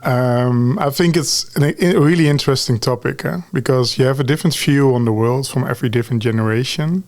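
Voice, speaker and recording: A man's voice: medium pitch, brisk. Dutch man in his forties, easygoing. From Netherlands. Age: 40s. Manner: relaxed, tags sentences with "huh?" and "eh?". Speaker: guest, talent lead. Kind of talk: podcast conversation.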